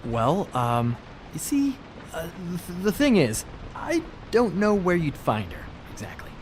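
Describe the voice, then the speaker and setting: An actor playing a slippery small-time thief in a video game, talking in glib, ingratiating, hedging patter. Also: male voice, sits high, huge pitch swings, measured pace, slight background noise, heavily acted. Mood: evasive, smarmy.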